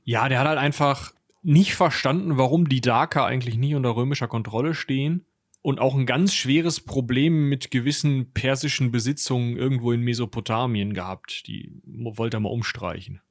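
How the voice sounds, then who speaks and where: A man co-hosting a relaxed history talk. He speaks colloquially, dropping slang and jokey asides into his explanations. The sound is dry, with a mid-pitched voice. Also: moderate pace, dry recording. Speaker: history podcast co-host. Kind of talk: casual conversational history discussion.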